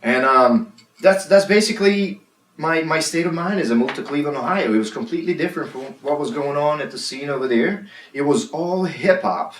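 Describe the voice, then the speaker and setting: A man in his thirties from Serbia, a guest DJ talking casually in an interview, halting with fillers and restarts. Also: a little high, animated. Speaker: guest DJ and producer. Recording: roomy, mic at a distance.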